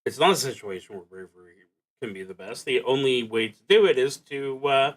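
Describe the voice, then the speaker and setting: A man streaming a solo tabletop roleplaying game, narrating his play and thinking aloud with hesitant pauses. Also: medium pitch, lively intonation.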